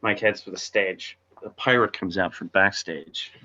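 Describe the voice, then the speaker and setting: A man's voice: low-pitched, steady tone, unhurried, bright, forceful tone. A man reading out stage directions as narrator at a script table read, on a lo-fi recording.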